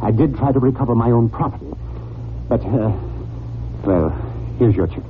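An actor playing a flustered, scheming book collector in a 1940s radio drama, stammering, formal and defensive. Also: male voice, mid-range voice, moderate pace, close to an everyday voice. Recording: noisy, tinny 1940s radio recording.

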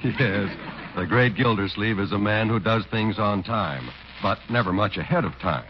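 A man's voice: on the low side, normal speed, slight background noise. A man delivering scripted announcements and narration in 1940s radio style. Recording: muffled 1940s radio recording. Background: music.

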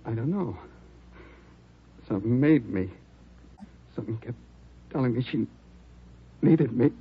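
A man's voice: mid-range voice, animated, measured pace, slight background noise, natural voice. A man playing a dazed, lovelorn escaped prisoner, weak and fading, in a 1950s radio drama. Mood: strained, bewildered. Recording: dull old radio recording, music behind.

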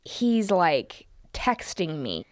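A woman chats casually as a podcast co-host, telling a workplace anecdote. Her pitch is very lively and she acts out the dialogue as she goes. Her delivery is animated and loosely phrased. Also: extremely slow.

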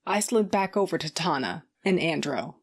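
A woman's voice: low-pitched, animated, moderate pace, breathy edge, exaggerated voice. A woman voicing a blunt, wry cyborg fighter. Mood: gruff, wry. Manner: blunt and profane, with dry humor. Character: tough, sardonic woman fighter with a cybernetic eye. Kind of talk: first-person audio drama narration.

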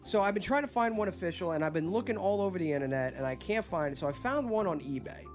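High-voiced rambling male podcast host. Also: fast, faint music behind. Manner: rambling, animated storyteller who breaks into a squeaky put-on voice. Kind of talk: casual storytelling chat.

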